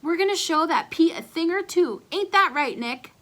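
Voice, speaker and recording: A woman's voice: very high voice, lively intonation, moderate pace, fairly bright tone, voice pushed up. A woman voicing a cocky, punchy schoolboy sidekick playing tough in a children's storybook. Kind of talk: children's storybook read-aloud.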